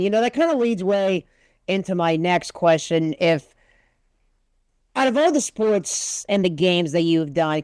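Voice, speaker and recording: A man's voice: on the high side, darkish tone, clean tone, no echo. A man hosting a sports podcast and interviewing a guest in a conversational way. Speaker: podcast host, sports broadcaster.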